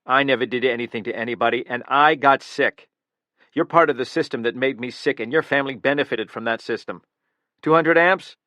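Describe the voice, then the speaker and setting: A man's voice: mid-range voice, quick pace, hardly any pauses, bright, forceful tone, dry recording, close to an everyday voice. A man voicing a bitter, menacing male killer who makes threats and flares into anger, in an audiobook reading. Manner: threatening, rising to angry outbursts.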